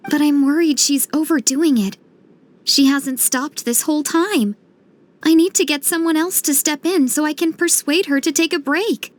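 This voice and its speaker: A woman voicing a caring, grateful young village woman in a video game, with an earnest, gentle manner. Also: high-pitched, moderate pace, natural voice. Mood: concerned.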